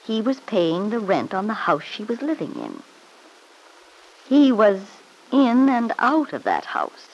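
A woman's voice: medium pitch, wildly swooping pitch, a little slow, full character voice, low-quality recording. Sly, swooping radio-soap schemer woman. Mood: smug, insinuating. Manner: mock-sweet, taunting, arch, with knowing chuckles. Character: sly, smug, needling married woman, stepmother with secrets. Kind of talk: old-time radio soap opera acting.

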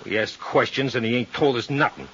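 A man acts out a sneering gangland heavy from a fifties radio play. He needles and taunts in streetwise slang, at a middling pitch. The vintage recording is dull with faint noise. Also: fairly quick, fairly bright tone, lightly acted. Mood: taunting. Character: taunting male hoodlum, sneering ringleader of thug pair. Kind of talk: 1950s radio crime drama acting. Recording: muffled, faintly noisy 1950s radio recording.

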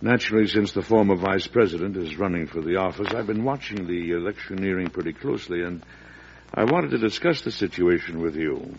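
An actor playing a fatherly, principled early American president in old-time radio drama, speaking with measured earnestness that turns oratorical when roused. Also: deep voice. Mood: calm, conciliatory. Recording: dull, noisy vintage radio recording.

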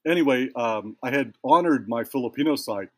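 A man's voice: mid-range voice, brisk, a bit bright, dry recording. A man speaking as an interview guest, telling a long personal story in a thoughtful, meandering way that trails off and restarts. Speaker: guest, ritual tattoo practitioner. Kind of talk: storytelling in a conversation.

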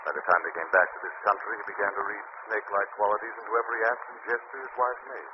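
A man voicing a commanding hero in an old radio play, speaking steadily in a fairly low voice. The broadcast sounds dull and lo-fi, with clear hiss underneath. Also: racing, close to an everyday voice. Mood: calm. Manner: steady and authoritative. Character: calm, commanding male crime-fighting hero. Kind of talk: 1940s radio acting.

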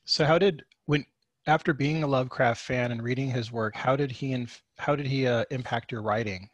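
A man hosting a podcast interview, asking friendly, relaxed questions in casual conversation. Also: medium pitch, moderate pace, no room sound, muffled recording. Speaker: podcast host.

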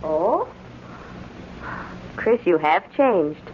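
An eager, effusive young woman in a vintage radio drama. Her voice swoops widely in pitch. The old recording is dull and faintly noisy. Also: extremely slow, full character voice. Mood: friendly, sympathetic. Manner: effusive, excitable. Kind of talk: old-time radio acting.

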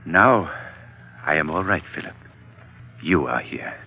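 An actor playing a gracious, fatherly patriarch who speaks slowly and formally in a 1940s radio drama. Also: extremely low pitch, lively intonation, slightly rough, exaggerated voice. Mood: solemn, moved. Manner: slow, formal, halting speech. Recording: very thin, lo-fi old radio recording.

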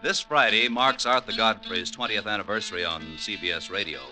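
A man's voice: on the low side, brisk, pushed and bright. An American man announcing credits and promos in an emphatic, polished 1950s radio style, on a vintage broadcast recording with music behind him.

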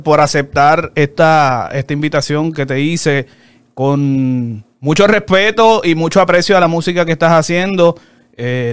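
A man hosting a casual interview show, opening with a lively promo and chatting loosely with his guest. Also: a little high, clear, resonant tone, no echo. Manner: lively, upbeat promo delivery with fast asides.

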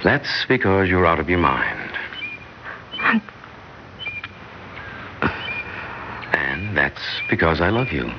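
An actor playing a smitten, smooth, reassuring married man in a secret affair, in old radio-drama style. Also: very animated, moderate pace. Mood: teasing, amorous. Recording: muffled old radio recording, heavy rumbling noise.

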